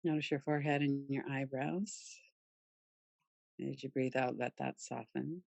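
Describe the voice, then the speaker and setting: Female meditation guide, soft-edged dark tone, close dry sound, soothing delivery with pauses. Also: very deep voice, steady tone, gravelly, no echo, highs cut off. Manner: soothing, gentle, unhurried with pauses. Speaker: yoga nidra meditation guide.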